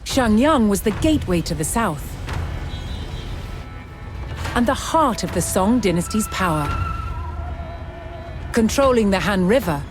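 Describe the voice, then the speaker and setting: A woman delivering scripted historical documentary narration in a measured, dramatic storytelling manner with deliberate pauses. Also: medium pitch, lively intonation. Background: background music.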